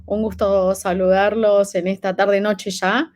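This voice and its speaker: A woman's voice: mid-range voice, level tone, unhurried, darkish tone. A woman in her thirties from Peru, with a light Peruvian accent from living in Argentina, giving a conference talk with frequent fillers and restarts. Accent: light Peruvian, lived in Argentina. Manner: hesitant, many fillers and restarts. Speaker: conference speaker.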